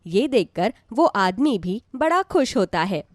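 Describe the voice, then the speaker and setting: A woman's voice: medium pitch, sing-song, unhurried, no echo, studio quality. A woman narrating a scripted devotional story as a storyteller.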